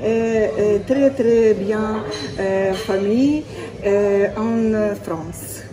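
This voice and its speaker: A woman from Ukraine with a Ukrainian accent, a mother speaking French haltingly with many pauses and fillers. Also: medium pitch, over music. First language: Ukrainian or Russian. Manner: very halting, many filler sounds, simple phrasing. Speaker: interviewed mother.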